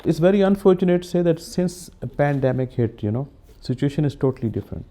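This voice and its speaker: A man who founded a charity, speaking earnestly in a documentary interview, with short filler pauses. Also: fairly high pitch, animated, mellow. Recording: music bed underneath.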